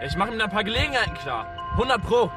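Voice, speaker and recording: An actor playing a glib, chummy young wheeler-dealer talking slang in a radio drama. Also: male voice, high-pitched, sing-song, very fast, recorded from a distance. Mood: chummy, persuasive. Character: quick-witted young wheeler-dealer, self-styled manager, chummy. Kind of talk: naturalistic radio drama dialogue. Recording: inside a car, off-mic, music behind.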